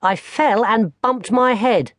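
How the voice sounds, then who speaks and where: A man's voice: extremely high pitch, slow, fairly bright tone, no room sound, clean studio sound, pitched above the performer's own voice, heavily acted. A man voicing an eager, earnest little boy in a children's audiobook. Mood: earnest, excited.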